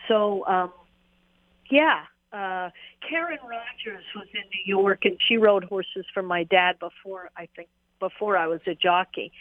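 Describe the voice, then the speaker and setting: A woman and former jockey, telling stories in a rambling, casual interview with frequent laughs and fillers. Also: medium pitch. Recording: tinny phone line.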